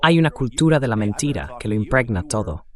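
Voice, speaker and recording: An AI-generated male voice delivering a dubbed political discussion. Its intonation swings in a lively way around a middling pitch, with conversational phrasing like an interview translation. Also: a little fast. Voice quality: synthetic AI voice. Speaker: translation voice-over.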